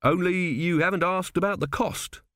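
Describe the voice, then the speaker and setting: Man as a hesitant, worried father, lifted pitch. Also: fairly bright tone, dry recording. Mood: worried, groping. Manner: halting, concerned. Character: hesitant, astonished father, head of a builder family.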